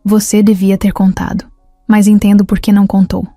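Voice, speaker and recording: A woman's voice: mid-range voice, little pitch movement, moderate pace, mellow, dry recording, studio quality, soft background music, close to an everyday voice. A woman voicing a grateful, earnest male company boss who speaks sincerely.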